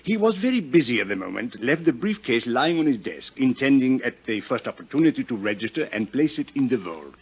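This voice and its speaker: Casting: talkative, gallant French inspector, rough-edged voice, vintage radio drama on muffled tape. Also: male voice, medium pitch, big pitch swings, brisk, few pauses. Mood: officious, precise. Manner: effusive, courtly, repeats words for emphasis. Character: suave, talkative, admiring French-speaking police inspector. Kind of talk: 1950s radio acting.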